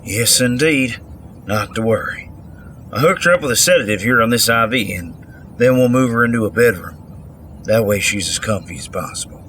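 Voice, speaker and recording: A man playing a kindly, fatherly doctor in an audio drama, gentle, folksy and reassuring. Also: fairly low pitch, expressive, moderate pace, a little hiss or hum.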